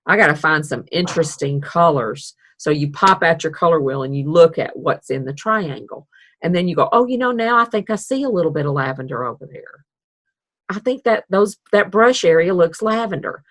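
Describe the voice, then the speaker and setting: A woman's voice: sits low, expressive, normal speed, a bit bright. A woman teaching an online art class over a video call, chatty and upbeat with self-deprecating asides. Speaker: art instructor.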